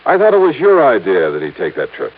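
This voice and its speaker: An actor playing a brisk, wry, hard-boiled American insurance investigator, narrating and talking in clipped, punchy radio-drama style. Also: male voice, on the low side, huge pitch swings, slightly rough, full character voice. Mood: pressing. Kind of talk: 1950s American radio drama acting, first-person narration. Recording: tinny vintage radio recording, music behind.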